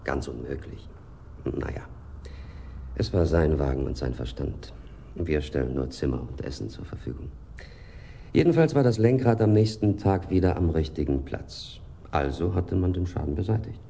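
An actor playing a polite, precise and exasperated hotel manager in a German radio crime drama, courteous yet disdainful. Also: male voice, extremely low pitch, lively intonation, moderate pace, vocal fry, mellow, slight background noise, heavily acted. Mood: indignant, dismissive.

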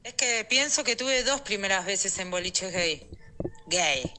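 A woman's voice: mid-range voice, expressive, measured pace, background noise. A woman with a Rioplatense Argentine accent, casually telling a personal story in a voice message. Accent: Rioplatense Argentine Spanish. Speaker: audience member sending a voice message.

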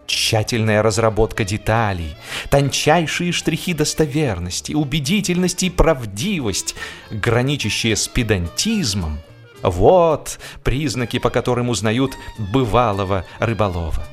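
A man narrates a comic literary piece with ironic, theatrical expression. His delivery is unhurried and very animated, with deliberate pauses, over faint music. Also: mid-range voice. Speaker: audiobook narrator and actor.

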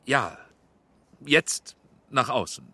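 An actor in a radio drama playing an exacting but tactful male film director, polite, careful and quietly skeptical. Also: mid-range voice, animated, drawn-out words, breathy edge, no room sound, exaggerated voice. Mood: careful, exasperated.

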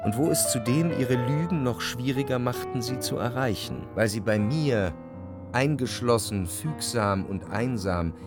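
An actor playing an indecisive, brooding young man, narrating a literary radio drama in a reflective, measured way. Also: lowish voice, lightly acted. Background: instrumental music.

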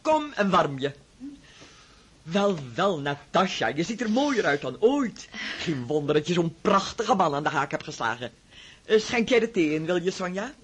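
Radio play: a husky, domineering elderly aunt; high, lively delivery. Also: male voice, normal speed, full character voice. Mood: bossy. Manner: commanding, teasing, chatty. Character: bossy, brisk elderly Moscow aunt. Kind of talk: radio drama.

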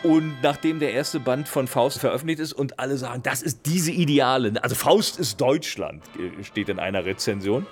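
A man's voice: medium pitch, animated, normal speed, hardly any pauses, faint music behind. A man talks in a middle register, explaining literature in a casual, spontaneous way. He is emphatic, often checks that he is followed, and slips into declaimed quotation. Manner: emphatic, tags remarks with checking questions, quotes verse theatrically.

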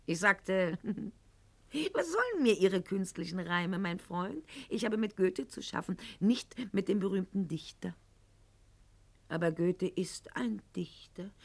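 An actress playing a proud, sharp-tongued aristocratic lady in a radio play monologue, speaking in an articulate, ironic and haughty manner. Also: mid-range voice, expressive, takes it slowly. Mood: dry, ironic. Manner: haughty, ironic, articulate, addressing a silent listener.

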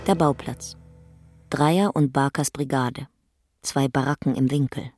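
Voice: mid-range voice, steady tone, a little slow, darkish tone, soft background music. A narrator reading the stage directions of a German radio play in a terse, matter-of-fact way.

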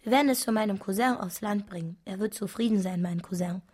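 An actress in a German radio play playing a brisk, practical, dismissive woman. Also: medium pitch, a little mellow, slightly rough, close to an everyday voice. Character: brisk, practical woman catching a runaway pig.

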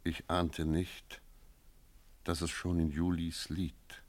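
An actor playing a brooding village innkeeper, narrating his memories in a measured, lyrical, solemn manner for a radio play. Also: sits low, little pitch movement, no room sound. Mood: ominous.

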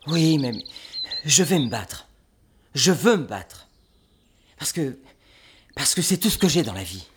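A man playing a stubborn, determined young apprentice fighter in an audio drama, with a husky voice. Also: fairly high pitch, very animated, unhurried, full character voice. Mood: defiant.

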